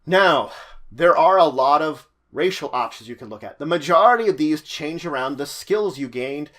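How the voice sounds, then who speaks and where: A man presenting a tabletop roleplaying guide in an informal, semi-scripted explanatory way, halting and correcting himself at times. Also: on the high side, expressive, fairly bright tone.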